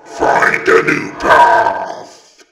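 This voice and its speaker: A man voicing a hulking orc shaman who speaks for a god, intoning cryptic words in a very deep rumble in a fantasy audiobook. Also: roomy sound, over a noisy crowd. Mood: ominous. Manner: slow, intoned, prophetic.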